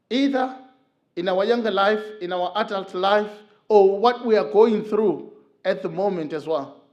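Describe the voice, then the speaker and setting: A middle-aged man from Zimbabwe speaks in a church, his voice sitting high for a man. He talks earnestly and tells stories, with frequent filler pauses as he searches for words. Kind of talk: talk on men's mental health. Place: church hall.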